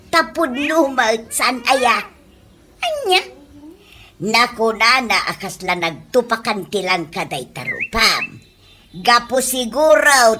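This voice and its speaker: An actress plays a boastful, complaining woman in an Ilocano comedy radio drama. Her voice is on the high side and full of exclamation. The recording is plainly noisy. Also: bright, forceful tone, full character voice. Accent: Ilocano, from the Philippines.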